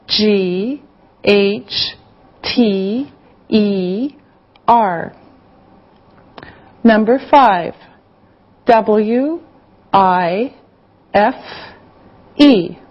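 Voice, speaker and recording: A woman playing an encouraging English teacher, giving carefully enunciated classroom instructions and dictation. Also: mid-range voice. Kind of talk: scripted classroom lesson with dictation. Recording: slightly dull lo-fi audio.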